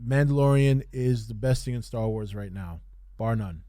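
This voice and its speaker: A man hosting a podcast, giving casual unscripted review commentary in a halting way, repeating phrases for emphasis. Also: mid-range voice, warm tone, no room sound, studio quality. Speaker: podcast host reviewing TV shows.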